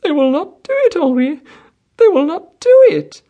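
A man voicing a wilful, spoiled young wealthy woman who pleads in a trembling, breathy, childlike falsetto. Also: huge pitch swings, measured pace, warm tone, highs cut off, exaggerated voice. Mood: tearful, insistent.

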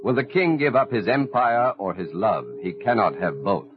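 A man's voice: on the low side, sing-song, moderate pace, over music. A man giving dramatic scripted narration in mid-century radio style, portentous and addressing the listener directly. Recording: dull vintage radio recording.

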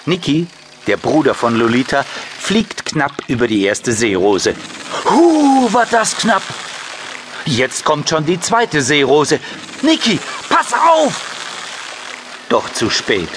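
Lively male children's story narrator. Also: a little high, slow pace, background noise. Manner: expressive storytelling in short, simple phrases. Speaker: audiobook narrator.

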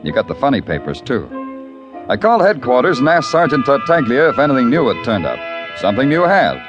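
An actor playing a hard-boiled police lieutenant who narrates a 1950s radio crime drama, with a dry, wisecracking delivery. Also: male voice, mid-range voice, very animated, brisk, muffled recording, over music, lightly acted. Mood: wry narration. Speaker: narrator and lead.

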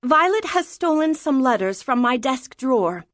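A woman's voice: high-pitched, sing-song, normal speed, dry recording, studio quality, pitched above the performer's own voice. A woman voicing a stern, reproachful mother scolding her daughter in an audiobook story. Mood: firm, upset. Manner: reproachful, firm.

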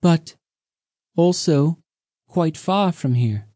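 Male reader doing a higher, gentle, whimsical ageless sea maiden in a storybook reading, dry close sound. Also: expressive, normal speed, dry recording. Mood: wistful. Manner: soft, precise, lilting. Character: mysterious ancient sea woman, young-seeming, whimsical.

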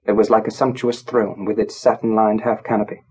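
A man reads literary fiction as an audiobook narrator. His voice is fairly low and a touch husky, and he tells it gravely, in measured dramatic phrases. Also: level tone, darkish tone.

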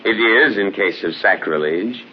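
An actor playing a smug, patronizing official in an old-time radio drama, in a conversational manner. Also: male voice, lowish voice, unhurried, close to an everyday voice. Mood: knowing. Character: condescending, worldly Imperial official, smugly prejudiced. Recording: dull old radio recording, faint noise.

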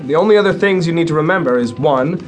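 A cocky American air force captain, played by a man, with a fairly high male voice. He swaggers through folksy wisecracks, with music running underneath the radio drama. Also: lively intonation, fast, lightly acted. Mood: cocky, playful. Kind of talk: audio drama acting. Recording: music behind the voice.

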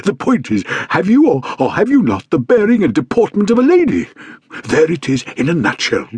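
A man voicing a stout, jovial, excitable British gentleman in an audiobook, effusive and blustering. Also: sits high, fairly quick, husky, no echo, voice pushed up, exaggerated voice. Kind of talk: audiobook character acting.

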